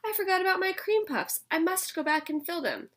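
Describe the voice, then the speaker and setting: A woman reading aloud who voices a cheerful, literal-minded young maid with a bright, eager delivery. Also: extremely high pitch, expressive, fairly quick, voice pushed up. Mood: chirpy.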